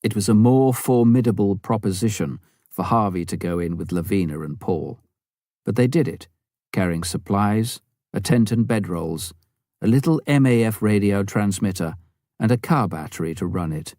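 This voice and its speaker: A man's voice: fairly low pitch, expressive, normal speed, dark tone, no echo, clean studio sound. A man reading a memoir aloud as scripted audiobook narration.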